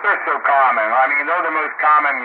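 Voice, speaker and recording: A man's voice: a little high, brisk. A middle-aged or older American man chatting casually about technical matters in a colloquial, folksy way over ham radio. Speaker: amateur radio operator. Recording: narrow, phone-like ham radio audio, static noise.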